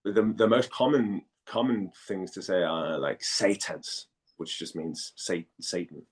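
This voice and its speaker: A man in a casual interview, telling stories in a chatty, halting way and often rephrasing as he goes. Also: sits low, animated, husky. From Denmark. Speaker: voice actor and model, interview guest.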